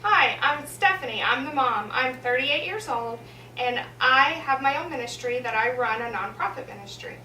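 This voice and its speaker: Thirty-something mom, home video intro, high bright voice, unsure delivery, roomy distant sound. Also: female voice, lively intonation, moderate pace. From Atlanta, Georgia, USA. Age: 30s. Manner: unsure, searching for words. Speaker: mother interviewed about home Christmas lights. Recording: roomy echo, from some distance, faint hum.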